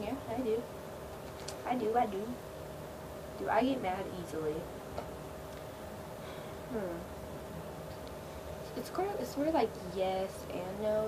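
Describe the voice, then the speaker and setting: Low-voiced teen girl, hissy webcam. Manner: laid-back, filler-heavy, trailing off. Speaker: vlogger. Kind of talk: casual Q&A chat. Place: small bedroom, indoors. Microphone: built-in webcam mic. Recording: quiet, low-volume webcam audio with loud hiss.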